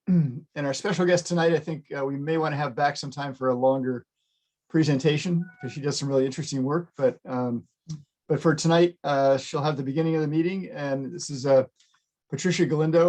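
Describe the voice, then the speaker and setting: Halting man chairing a video call. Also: on the high side, steady tone, a little mellow. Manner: halting, many fillers and restarts. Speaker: meeting chair. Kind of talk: virtual meeting chairing.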